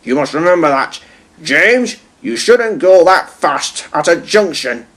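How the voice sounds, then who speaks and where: A man's voice: on the high side, animated, a little slow, fairly bright tone, voice pushed up. A man voicing a stern, portly railway boss who speaks firmly and commandingly. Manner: firm and commanding. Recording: music playing behind.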